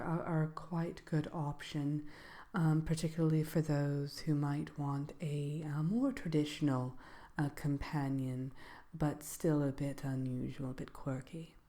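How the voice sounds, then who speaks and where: A woman playing a composed dark sorceress who gives a soft-spoken ASMR seminar in a hesitant, measured, genteel manner. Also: sits low. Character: composed dark sorceress lecturing on magical animal companions. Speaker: seminar presenter.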